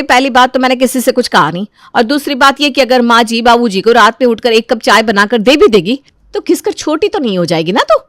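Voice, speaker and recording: A woman's voice: a little high, a bit bright, dry recording, clean studio sound. A woman playing a resentful, stubborn elder daughter-in-law who grumbles and argues sarcastically in a dramatized moral story. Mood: defensive.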